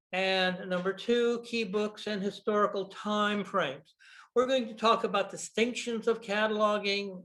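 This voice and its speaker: An older American man giving a slide presentation over a video call, speaking deliberately with frequent pauses and fillers. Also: high-pitched, a bit bright. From United States. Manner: deliberate, with frequent ums and uhs. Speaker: guest speaker, bookshop owner.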